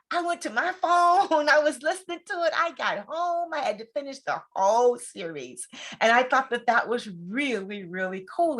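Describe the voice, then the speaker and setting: A woman's voice: medium pitch, bright, forceful tone. An American woman hosting a podcast in a chatty, informal, conversational way. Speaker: podcast host.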